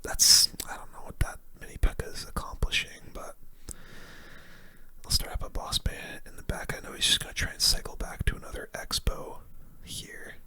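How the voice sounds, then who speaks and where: A person giving casual, slangy, mildly grumbling gaming commentary in a breathy ASMR whisper. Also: normal speed, bright, forceful tone. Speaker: gaming video host.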